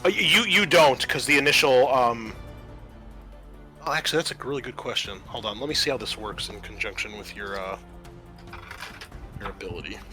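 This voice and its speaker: Male game master, bright edge, halting rules explanations, music underneath. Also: medium pitch. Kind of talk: running a tabletop roleplaying game, improvised rulings.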